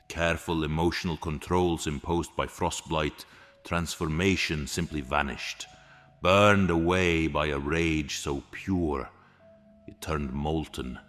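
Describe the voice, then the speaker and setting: A man's voice: a bass voice, takes it slowly, a bit bright. A man narrating an epic fantasy story in a dramatic, scripted storytelling style. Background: music.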